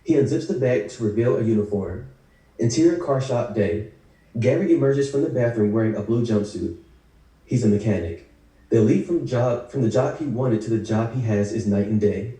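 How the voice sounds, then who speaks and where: A man's voice: mid-range voice, moderate pace, mellow. A man narrating scripted stage directions for a table read. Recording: echoey room, distant video call mic.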